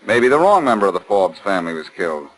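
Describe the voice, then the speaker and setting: Brash, low-voiced radio detective with swooping delivery. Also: male voice, sing-song, fast talker, low-quality recording. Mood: accusing. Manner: blunt, insistent. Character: brash, persistent male amateur sleuth, wisecracking and confident. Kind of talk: 1940s radio acting. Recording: 1940s radio broadcast recording.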